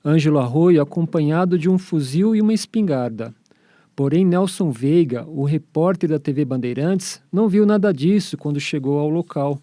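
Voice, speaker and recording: A man's voice: a little high, lively intonation, normal speed, mellow, dry recording, studio quality. A Brazilian man presenting and narrating a radio documentary from a script.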